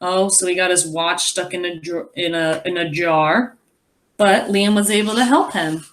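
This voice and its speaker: A woman teacher reading a children's story aloud expressively, pausing to explain and wonder aloud. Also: lowish voice. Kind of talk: animated children's read-aloud with asides.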